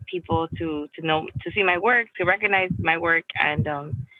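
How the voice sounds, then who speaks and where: A woman's voice: deep voice, normal speed, no room sound, phone-quality sound. A young adult woman from Miami, answering interview questions in a casual, rambling way with many restarts and "like" fillers. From United States (Miami). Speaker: guest artist.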